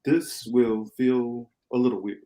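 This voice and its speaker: A man plays a calm, wise captain of a rebel ship in an amateur radio play. His delivery is measured and explanatory, in a mid-pitched male voice. The room around him is echoey. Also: close to an everyday voice. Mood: measured, assured.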